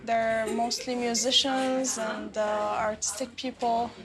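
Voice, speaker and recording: A young woman from Syria, talking candidly and softly as a guest over a meal in a busy cafe. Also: on the high side, flat delivery, takes it slowly, crowd noise. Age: young adult. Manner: candid, soft-spoken, laughs between remarks. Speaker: interview guest. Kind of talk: casual conversation over a meal. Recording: cafe recording with music behind. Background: loud music.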